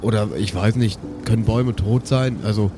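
A man's voice: fairly low pitch, fairly even intonation, moderate pace, over music. A man speaking casually as a player in an improvised tabletop role-playing game.

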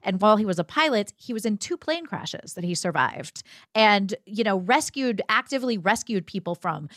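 A woman's voice: medium pitch, lively intonation, moderate pace, fairly bright tone, dry recording. Woman, mid-range voice, casual explanatory podcast chat, close dry recording. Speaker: podcast co-host. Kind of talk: casual conversational podcast talk.

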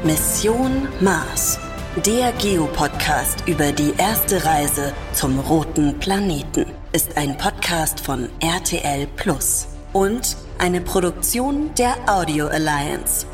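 Woman announcer, slow deliberate pace, lowish voice, scripted credits, prominent music behind. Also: lively intonation.

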